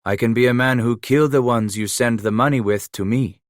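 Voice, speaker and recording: A man's voice: medium pitch, moderate pace, no room sound, studio quality, natural voice. A man voicing Emile, a scarred giant. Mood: taunting. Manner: broken English, mocking, menacing. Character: giant scarred French-Canadian frontier killer, cunning, playful.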